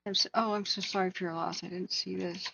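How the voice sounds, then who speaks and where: A woman hosting a wildfire tracking livestream, hoarse from hours of talking, speaking earnestly and answering viewers by name. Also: lowish voice, flat delivery, fast, bright, forceful tone, a little hiss or hum, poor audio. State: hoarse, losing her voice. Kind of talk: casual livestream chat.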